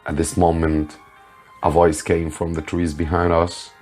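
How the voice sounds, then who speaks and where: Slow, deep-voiced man reading a story. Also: flat delivery, a little mellow. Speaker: English teacher reading a story aloud. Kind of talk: slow scripted story narration for learners. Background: music behind the voice.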